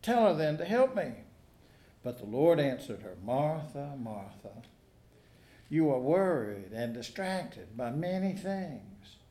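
A man preaching a sermon, reading a gospel passage and then telling stories in a measured, earnest way. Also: a little high, big pitch swings, dark tone. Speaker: pastor. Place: church sanctuary. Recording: echoey church.

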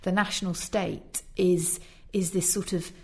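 Female host, lowish register, nasal from a cold, chatty discussion delivery. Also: little pitch movement, unhurried. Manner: conversational, with fillers. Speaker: podcast host. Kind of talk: casual discussion.

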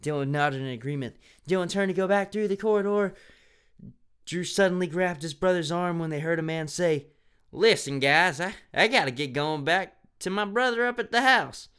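A man's voice: sits high, moderate pace, a bit bright, clear, resonant tone. Sarcastic man reading a book aloud. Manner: sarcastic, laughing at the text. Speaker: reader of a children's mystery book. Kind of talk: mocking dramatic read-aloud with asides.

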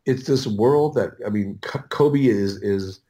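A man's voice: on the low side, mellow. A middle-aged American man telling stories casually as an interview guest, often stopping and correcting himself as he rambles. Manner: halting, rambling, self-correcting. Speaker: audiobook producer, podcast guest.

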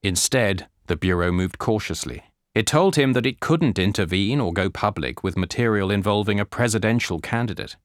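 A man narrates a nonfiction audiobook in a fairly low voice. His reading is scripted, measured and carefully articulated. The sound is dry, as recorded in a studio with little room around it. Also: animated, no echo.

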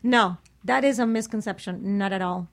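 A woman on a panel, chatting casually and explicitly in a vivid, animated way. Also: mid-range voice, normal speed. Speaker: panel guest.

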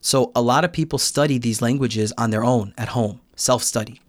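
A man's voice: mid-range voice, moderate pace, no room sound. A young American man talking reflectively as a podcast guest, explaining his thoughts in a casual conversational way. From United States. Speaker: podcast guest, Korean learner.

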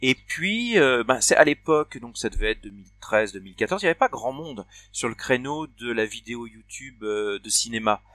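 Dry, bright-voiced man in his forties. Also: mid-range voice, animated, a little fast, few pauses, no echo. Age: 40s. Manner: hesitant, many fillers and restarts. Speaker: podcast co-host. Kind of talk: casual conversational debate.

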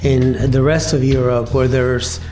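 A man in his fifties from Argentina, telling his life story in an interview with a thoughtful, halting manner and many pauses. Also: medium pitch, little pitch movement, darkish tone, faint music behind. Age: 50s. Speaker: interview guest, cafe owner. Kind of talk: reflective personal storytelling.

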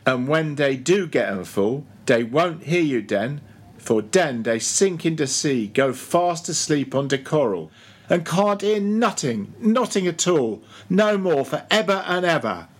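A man voicing a grumbling old ship's cook who preaches in heavy dialect with a shrill voice, for a storybook reading. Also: lively intonation, a little slow, husky. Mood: weary, exasperated.

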